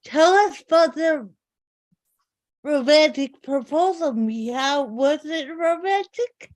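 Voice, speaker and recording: A woman's voice: sits high, expressive, slow pace, no echo. A woman playing an exuberant middle-aged auntie in a drama table read. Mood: excited, gushing.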